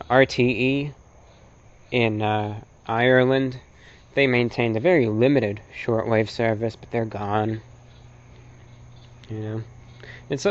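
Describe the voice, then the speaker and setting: A man with an American accent gives an unscripted, opinionated lecture in a halting, emphatic way, repeating short phrases for effect. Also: medium pitch, lo-fi sound. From North America. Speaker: presenter, shortwave radio enthusiast.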